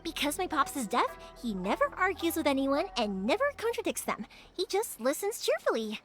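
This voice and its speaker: A bubbly young fireworks maker chats energetically in a very high voice that lilts up and down with enthusiasm. Game music plays clearly behind her. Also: fairly quick. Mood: fond. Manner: energetic, chatty, patient with her father. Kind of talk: video game voice acting.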